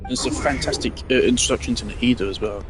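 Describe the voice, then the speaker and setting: A man's voice: medium pitch, fast talker. A man giving casual, rambling game commentary, musing hesitantly and trailing off. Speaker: game streamer. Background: game music.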